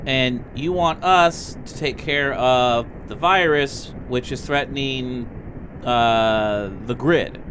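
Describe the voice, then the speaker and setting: A man's voice: mid-range voice, slow, fairly bright tone, poor audio. A man playing a tabletop game with casual, improvised banter, flippant and a little hesitant. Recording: faint background noise.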